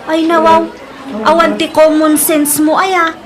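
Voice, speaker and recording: Woman acting a high-voiced, scolding, strict supervisor. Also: completely flat delivery, unhurried. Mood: irritated scolding. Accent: Filipino, Ilocano. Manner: scolding, exasperated. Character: strict, impatient, scolding female workplace supervisor. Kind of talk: Ilocano comedy-drama voice acting.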